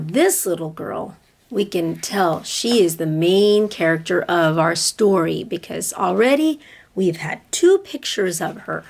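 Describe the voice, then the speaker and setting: A woman's voice: mid-range voice, vocal fry. A woman reading a picture book aloud to children like a teacher, speaking to them directly with dramatic emphasis. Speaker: read-aloud storyteller, teacher-like.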